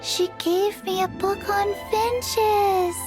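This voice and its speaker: A woman voicing a tiny, placid child character in a video game, speaking simply and deadpan. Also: very high voice, extremely slow, exaggerated voice. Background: background music.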